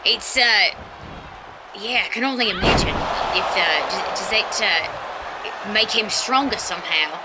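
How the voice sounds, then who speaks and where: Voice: medium pitch, expressive, measured pace. A color commentator for a wrestling match, bantering and teasing their partner with short, playful reactions. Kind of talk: comedic live commentary. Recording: loud crowd and noise behind.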